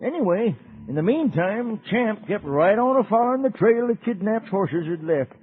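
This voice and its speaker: An American man playing a folksy old cowboy uncle, telling a radio serial to children in a rustic drawl with a husky voice. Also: high-pitched, expressive, moderate pace. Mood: folksy, friendly. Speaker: narrator. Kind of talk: 1950s radio serial storytelling. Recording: dull old radio recording.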